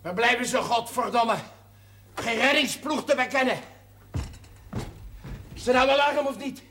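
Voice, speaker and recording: An actor playing a rough, hot-tempered miner in a television drama, coarse, sarcastic and confrontational. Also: male voice, very high-pitched, a little slow. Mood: bitter defiance. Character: rough, foul-mouthed, defiant male miner, hot-tempered. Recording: hissy, noisy old TV soundtrack.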